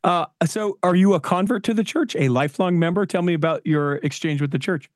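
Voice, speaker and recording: An enthusiastic male podcast host rambles through his questions, often stumbling and restarting. His voice sits a little high, and the recording is close and dry. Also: brisk, dry recording. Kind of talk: casual interview.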